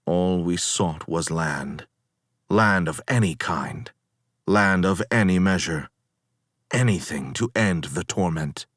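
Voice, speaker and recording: A weary, proud hero of ancient Greece, performed by a man, tells his tale in a deep voice and slow, deliberate phrases. The delivery is grave and theatrical, declaiming like epic poetry, in a dry, close recording. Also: a bit bright, no room sound, exaggerated voice. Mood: wistful, awed. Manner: grave, declamatory, deliberate storytelling with dramatic swells. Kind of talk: theatrical dramatic poetry reading.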